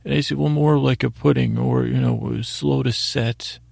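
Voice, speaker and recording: A man hosting a bedtime podcast with a gravelly, husky voice, rambling and halting in a soothing, unhurried, self-deprecating way. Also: medium pitch, steady tone, darkish tone, no echo. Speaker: podcast host. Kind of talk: meandering bedtime podcast monologue.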